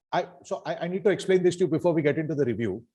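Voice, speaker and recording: A man with an Indian English accent co-hosts a review podcast and chats emphatically. His pitch moves around a lot as he makes his points. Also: expressive, fast, dark tone, breathy edge. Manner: chatty, emphatic. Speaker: podcast co-host. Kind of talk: casual review chat.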